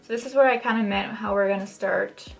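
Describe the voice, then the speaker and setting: Young woman, raspy and a bit croaky, casual how-to host with a faint music bed. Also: medium pitch, fairly even intonation, fairly quick, low-quality recording. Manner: chatty, many "like" fillers, shifts pace often. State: dry throat, maybe catching a cold. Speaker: makeup tutorial host. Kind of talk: casual step-by-step tutorial talk. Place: home, in front of a mirror.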